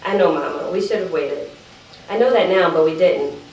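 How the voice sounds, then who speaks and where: A woman's voice: lowish voice, normal speed, darkish tone, voice pushed down, close to an everyday voice. A woman performing a dramatic monologue as an evasive teenage sister, chatty and apologetic as she pleads her case to her mother. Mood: sheepish, apologetic. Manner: defensive, apologetic, chatty digressions. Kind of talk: dramatic monologue read aloud at a live event. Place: live event room, recorded from a distance. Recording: roomy, distant microphone, faint background noise.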